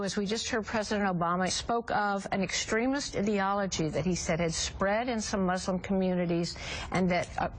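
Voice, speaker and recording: A woman anchor introducing guests and putting questions in a broadcast interview, in a measured, crisp way. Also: medium pitch, slight background noise, rough recording. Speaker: news anchor.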